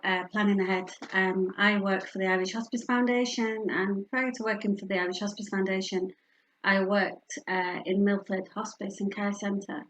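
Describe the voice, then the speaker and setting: A woman's voice: medium pitch, low-quality recording. A middle-aged woman with a Yorkshire accent giving a candid, personal community talk on planning ahead, full of fillers and asides. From Yorkshire, England. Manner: warm, candid, personal storytelling with frequent fillers. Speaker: speaker from a hospice charity.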